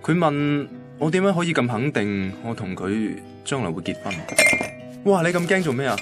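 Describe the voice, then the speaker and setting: An actor playing an earnest, devoted young boyfriend in a Hong Kong Cantonese radio drama, speaking colloquially and sounding quietly vulnerable. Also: male voice, medium pitch, sing-song, moderate pace, lightly acted. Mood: puzzled, frustrated. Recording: music bed under the voice.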